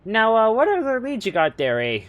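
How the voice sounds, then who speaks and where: Man playing a high-pitched, animated, bumbling police chief. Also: narrow, tinny audio. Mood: folksy, awkward. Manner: halting, hesitant, folksy phrasing. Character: male police chief, exasperated, bumbling but fatherly. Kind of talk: comedic audio drama acting.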